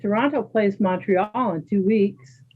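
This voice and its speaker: A woman playing a calm, kind, sporty Canadian woman in a readers theater dialogue. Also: mid-range voice, unhurried, slightly rough. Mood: friendly, matter-of-fact. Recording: thin phone-like sound.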